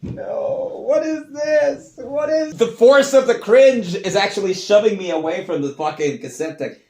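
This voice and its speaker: A man's voice: high voice, unhurried. A man, talking casually and swearing freely as he mocks himself. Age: adult. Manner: animated, profane, self-mocking. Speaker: host. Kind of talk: casual commentary reacting to old tapes.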